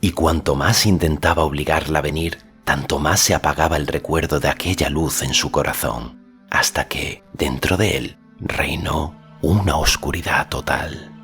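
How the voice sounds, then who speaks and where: A man's voice: extremely low pitch, normal speed, fairly bright tone, faint music behind. A man reading literary fiction as an audiobook narrator, in a scripted storytelling style.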